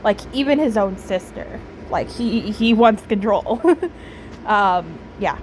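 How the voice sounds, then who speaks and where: A woman giving casual, reflective game commentary, thinking aloud haltingly and often repeating words. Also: mid-range voice, expressive, slight background noise, poor audio. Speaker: game streamer. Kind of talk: casual commentary while playing a story game.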